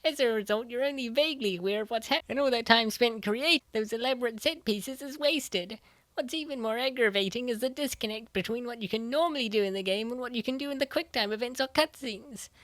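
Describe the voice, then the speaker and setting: A woman's voice: on the high side, fast talker, fairly bright tone, no echo, lightly acted. A woman voicing an earnest, nerdy young female gamer who explains her opinions in a comic dub. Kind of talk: comic dub dialogue, gaming banter.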